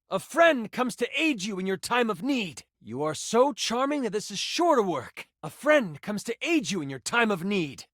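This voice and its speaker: High-voiced, theatrical game master guy. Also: no echo, studio quality. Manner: theatrical, expressive, shifts between grand narration and casual asides. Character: excitable, theatrical nerdy male dice game master. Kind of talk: video game character voice lines, dramatic tabletop narration.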